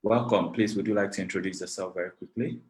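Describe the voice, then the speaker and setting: A man's voice: low-pitched, fairly even intonation, fast. A man from Nigeria, moderating an online panel over a video call in a gracious, formal way, thanking many people at length. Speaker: webinar moderator.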